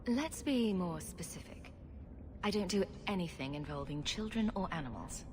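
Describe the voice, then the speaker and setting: A woman's voice: fairly low pitch, animated, moderate pace, background noise, close to an everyday voice. Cool, direct adventurer woman played by an actress. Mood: businesslike. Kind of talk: video game cutscene acting.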